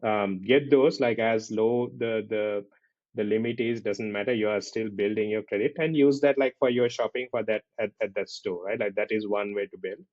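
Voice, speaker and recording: A man with a Sri Lankan accent talking casually as a podcast host, halting and repeating himself, often checking in with a tag question. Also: lowish voice, darkish tone, no room sound. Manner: halting, repetitive, often tags questions with "right". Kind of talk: casual conversational podcast talk. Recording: dull lo-fi audio.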